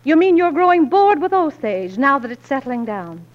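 High voiced pioneer wife, stubborn and reproachful, big emotional old radio drama style. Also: female voice, big pitch swings, normal speed, dull audio. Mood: worried, reproachful. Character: strong-willed, long-suffering frontier wife and newspaper editor. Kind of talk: 1940s radio acting. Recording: old 1940s radio recording.

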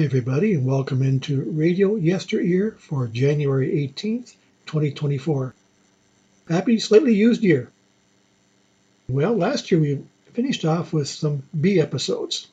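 A man's voice: a little high, warm tone, slightly rough. A man presenting an old-time radio show in a chatty, conversational way, teasing listeners with clues. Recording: slightly dull lo-fi audio.

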